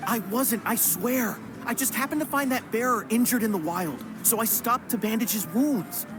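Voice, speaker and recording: Game teammate played by a woman: young, apologetic excuse-maker, defensive, clear music bed. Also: fairly high pitch, moderate pace, close to an everyday voice. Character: sheepish, defensive young team member making excuses. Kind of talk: anime game voice acting.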